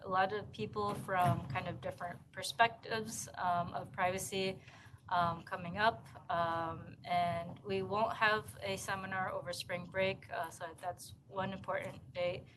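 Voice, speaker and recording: A woman giving course announcements as an instructor in a seminar room, speaking in a halting, hesitant way. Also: medium pitch, fairly even intonation, crowd noise. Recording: noisy room recording.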